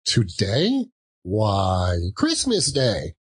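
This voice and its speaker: A man's voice: fairly low pitch, huge pitch swings, drawn-out words, slightly rough, exaggerated voice. A man voicing a cheeky, incredulous young boy.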